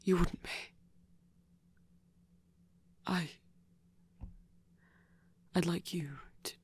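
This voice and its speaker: A man voicing a flirty, courteous vampire in an intimate ASMR roleplay, speaking in a breathy whisper with slow, formal, deliberate phrasing. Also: high voice, flat delivery, a little hiss or hum. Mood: gentle, inviting. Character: flirty courteous male vampire, softly seductive.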